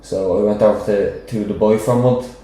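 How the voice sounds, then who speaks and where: A man's voice: fairly low pitch, steady tone, fairly quick, warm tone. A young man with a Dublin accent, a professional boxer chatting casually as a guest, rambling and often asking "do you know what I mean". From Ireland. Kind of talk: casual interview chat. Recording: very echoey room.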